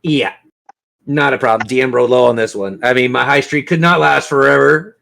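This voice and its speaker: A man's voice: mid-range voice, brisk, creaky, fairly bright tone, slight background noise. A man acting as game master, narrating and refereeing a tabletop fantasy game in colloquial language and speaking directly to his players.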